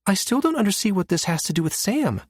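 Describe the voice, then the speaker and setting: A man's voice: high-pitched, big pitch swings, fast talker, breathy edge, dry recording, pitched above the performer's own voice, heavily acted. A man performs a challenging woman navy officer in an audiobook. The voice is lifted well above a male register and presses with pointed questions. The sound is dry and close. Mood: shocked, protesting. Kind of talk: audiobook character dialogue.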